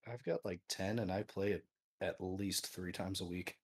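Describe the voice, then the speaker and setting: A man's voice: deep voice, steady tone, normal speed, breathy edge. A male streamer guesting on a podcast in easygoing chat, poking fun at himself, with a voice people say is made for radio. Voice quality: voice people call fit for radio. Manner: easygoing, self-deprecating.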